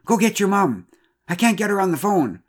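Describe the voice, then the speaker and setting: A frantic expectant mother, acted by a male storyteller in a children's tale. She calls out urgently in a raised, excitable pitch, exclaiming her words. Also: normal speed. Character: frantic pregnant woman neighbour, shouting in urgency.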